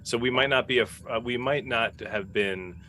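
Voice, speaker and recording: A man's voice: mid-range voice, moderate pace, pushed and bright. A man in a casual panel chat as a cast member, reflective and often correcting himself. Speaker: podcast cast member and role player. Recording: faint background noise.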